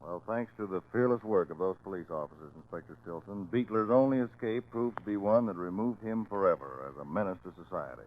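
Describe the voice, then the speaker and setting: A man's voice: low-pitched, a little fast, hardly any pauses, slight background noise. A man hosting a 1940s radio show, reading scripted narration in a formal, declamatory manner. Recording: dull 1940s radio recording.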